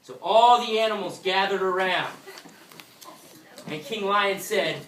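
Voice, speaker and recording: A woman hosting a live, interactive story for children in an animated, folksy, exclamatory way. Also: medium pitch, measured pace, slight background noise. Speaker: puppet show host and storyteller. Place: indoor room with an audience. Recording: echoey room, distant mic, music.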